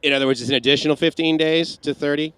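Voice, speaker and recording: A man's voice: on the high side, steady tone, racing, a bit bright, smooth, no echo. A man co-hosting a livestream Q&A, chatting casually and rambling with asides and restarts. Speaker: livestream co-host. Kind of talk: casual Q&A chat.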